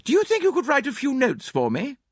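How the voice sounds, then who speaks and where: A man playing a grand elderly lady presiding over her household, high and fluttery in a storybook reading. Her voice swoops widely as she exclaims and gives orders. It sounds close and dry. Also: wildly swooping pitch, a little fast, no room sound, exaggerated voice. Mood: gracious. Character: gushing elderly woman, imperious lady of the house.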